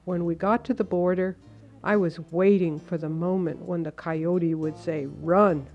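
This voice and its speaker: A woman reading a translated first-person account as a measured, earnest voice-over. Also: fairly low pitch, steady tone, highs cut off, faint music behind.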